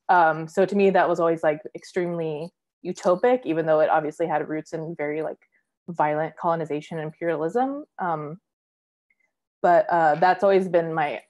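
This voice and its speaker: A young woman speaking from home over a video call, pausing often and filling gaps while she works out her thoughts. Her voice sits a little low for a woman, and her talk is loose and conversational. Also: a little mellow. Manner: hesitant, many fillers, thinking aloud. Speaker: comics creator guest. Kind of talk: casual panel conversation. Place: home room, indoors. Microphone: video call webcam.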